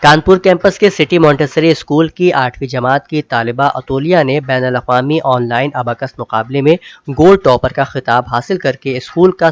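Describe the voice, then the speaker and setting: A man reading a scripted news bulletin as a news reader, in a formal, measured manner. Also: medium pitch, no room sound.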